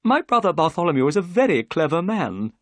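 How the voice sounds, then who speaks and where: A narrator voicing a nervous, talkative gentleman who chatters eagerly in a raised, fussy voice. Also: mid-range voice, very animated, fairly quick, dry recording, studio quality, voice pushed up, close to an everyday voice.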